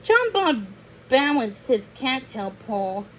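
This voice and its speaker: A woman reading a children's story aloud as narrator, halting now and then over words. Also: high-pitched, very animated, a little hiss or hum, low-quality recording.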